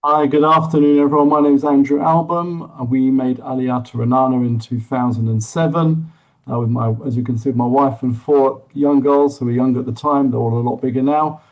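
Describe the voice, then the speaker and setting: A man's voice: mid-range voice, steady tone, moderate pace. A middle-aged man casually introducing himself as a panellist on an online call. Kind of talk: casual self-introduction on a webinar. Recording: dull, lo-fi online call audio.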